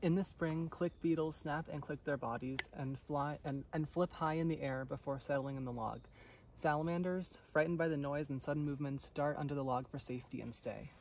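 A young man with a fairly high voice reads a nature storybook aloud. He sits a little away from the mic in the woods, with faint outdoor noise. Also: fairly even intonation, fairly quick, a little mellow, recorded from a distance. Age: young adult. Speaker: intern reading a storybook aloud. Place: outdoors on a forest trail. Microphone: camera or phone a short distance away.

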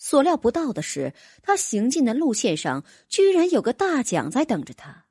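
A woman's voice: on the high side, wildly swooping pitch, moderate pace, a little mellow, slightly rough, no echo, studio quality. A woman narrating a fantasy audiobook, reading a scripted story in a dramatic storytelling style.

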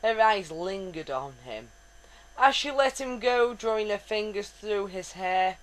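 A woman's voice: mid-range voice, lively intonation, measured pace, rough recording. A woman giving a wry, dramatic reading of fan fiction. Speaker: reader.

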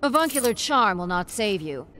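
An actress playing a proud royal warrior in a fighting game, imperious, formal and cutting. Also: female voice, mid-range voice, very animated, normal speed, noisy background, natural voice. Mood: cool scorn. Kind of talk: dramatic fighting-game acting.

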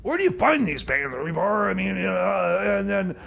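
A man playing a flustered radio DJ in comedy radio theater, stammering and rambling in a raspy, creaky, high voice. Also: very animated, moderate pace, fairly bright tone, heavily acted. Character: Jeb, flustered male radio DJ. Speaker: radio host.

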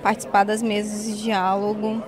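A woman's voice: medium pitch, completely flat delivery, moderate pace, crowd noise. A young woman with a Brazilian accent, a university student giving a spontaneous interview answer. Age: young adult.

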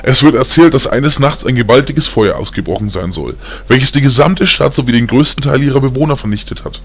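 A man's voice: mid-range voice, animated, fairly quick, husky. A man playing a weary, caring old grandfather. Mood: solemn storytelling. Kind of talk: audiobook character acting.

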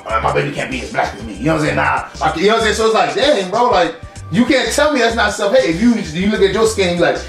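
Man from South Florida, thirties, quick pace, slightly high voice, emphatic, casual debating. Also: expressive, vocal fry, faint music behind. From South Florida, United States. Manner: emphatic, peppers talk with "you know what I'm saying". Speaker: co-host of online discussion show. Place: room at home, indoors. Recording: echoey home room, distant mic.

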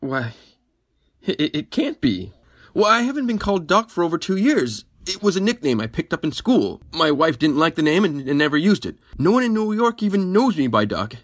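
An actor playing a chatty, anxious husband in an old-time radio mystery, halting when pressed. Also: male voice, fairly high pitch, sing-song, dry recording, studio quality. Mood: nervous, defensive. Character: anxious, chatty husband under suspicion in a murder.

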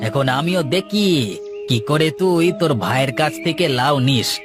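A man's voice: fairly high pitch, normal speed, close to an everyday voice. A man voicing a jealous, gossiping villager in a cartoon fairytale, mocking and conspiratorial. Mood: mocking, scheming. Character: jealous, gossiping male villager, sly troublemaker. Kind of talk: animated moral fairytale dialogue. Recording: music behind the voice.

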